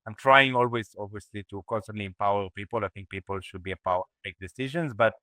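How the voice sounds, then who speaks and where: A man in his thirties from Israel speaks as a startup founder on a podcast, thinking aloud as he answers. His voice is low-pitched but bright and crisp, and the sound is dry and close. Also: fast talker, no echo. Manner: thoughtful, reflective, with frequent restarts. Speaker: startup CEO guest. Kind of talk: podcast interview.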